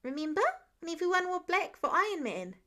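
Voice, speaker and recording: A woman's voice: extremely high pitch, sing-song, fairly quick, bright, forceful tone, voice pushed up, exaggerated voice. A woman voicing an eager, blunt kindergarten-age boy, chirpy, earnest and full of questions. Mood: eager, curious.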